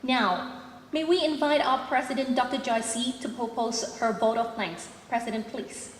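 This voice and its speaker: A woman's voice: on the high side, a little slow, slight background noise. A woman serving as master of ceremonies, introducing speakers in a formal announcing manner. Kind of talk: formal emceeing. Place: large auditorium. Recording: echoey hall, long reverb.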